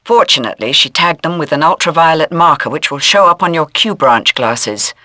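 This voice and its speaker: An actress playing a stern intelligence handler giving crisp, formal mission briefings in a video game. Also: female voice, sits low, animated, brisk, bright, forceful tone, no room sound. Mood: stern, businesslike. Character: stern, businesslike intelligence handler directing a secret agent.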